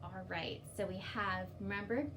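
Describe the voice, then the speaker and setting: A woman's voice: medium pitch, fairly even intonation, moderate pace. A woman hosting storytime, reading aloud and explaining to young children in simple, instructive phrases. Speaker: children's storytime host at a library. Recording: distant mic, faint background noise.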